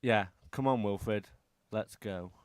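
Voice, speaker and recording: A man playing a gossipy circus worker who is quick to retort, in an amateur radio drama. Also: fairly low pitch, smooth, no room sound. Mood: scolding.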